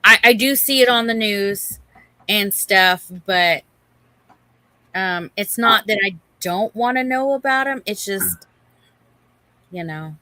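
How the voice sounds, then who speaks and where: A woman with a bright, forward voice chats casually as a livestream co-host. Her pace is slow and conversational, and the sound is dry with little room in it. She talks informally and directly to the people in the chat. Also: medium pitch, no echo. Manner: chatty, addresses and greets viewers by name. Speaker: livestream co-host reading and answering viewer chat.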